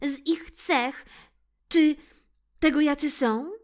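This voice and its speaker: A woman's voice: high voice, slow pace, pitched above the performer's own voice. A woman voicing a proud female centipede who firmly lectures bullies in a children's story. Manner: lecturing, firm.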